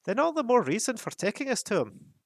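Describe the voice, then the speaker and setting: A man's voice: high-pitched, big pitch swings, no room sound, clean studio sound, pitched above the performer's own voice, heavily acted. A man doing a pushy young dwarf. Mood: impatient. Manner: hurried, pushy pleading. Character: young dwarf, impatient and hungry.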